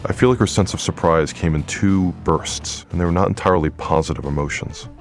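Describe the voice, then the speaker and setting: An actor playing a stern, imperious immortal leader in an audio drama, grave and exasperated in manner. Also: male voice, low voice, fairly quick, soft background music. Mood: grave, urgent. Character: stern, commanding male immortal leader with psychic senses.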